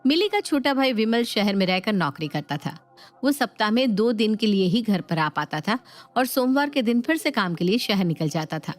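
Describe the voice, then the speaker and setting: A woman narrator reading a scripted family storybook tale. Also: medium pitch. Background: music.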